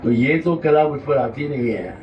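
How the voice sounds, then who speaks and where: A man with a sore throat gives a slow, reflective devotional discourse, wandering between points and explaining his terms. His voice sits at an ordinary pitch. It was recorded from a distance in an echoey hall. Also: expressive, muffled recording, a faint crowd, a little hiss or hum. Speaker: spiritual teacher. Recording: roomy hall audio, mic at a distance.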